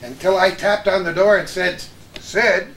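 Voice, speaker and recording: Older American man, high-pitched voice, roomy sound. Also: measured pace, fairly bright tone. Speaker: host, veteran radio broadcaster. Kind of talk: reminiscing, anecdotal chat with old colleagues. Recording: roomy echo with some background noise.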